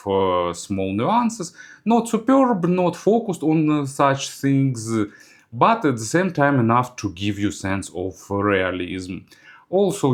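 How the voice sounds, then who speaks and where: A man narrating a hands-on product review as a reviewer, speaking in halting, hesitant phrases. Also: on the low side.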